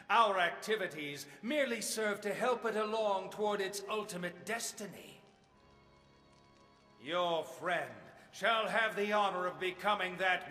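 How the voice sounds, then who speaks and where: A man's voice: high-pitched, bright, forceful tone, full character voice. A man playing a courteous, fanatical doomsday cult leader in a video game, ceremonious and unctuous. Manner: unctuous, ceremonious, over-polite. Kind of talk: theatrical video game voice acting. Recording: echoey hall reverb over game music.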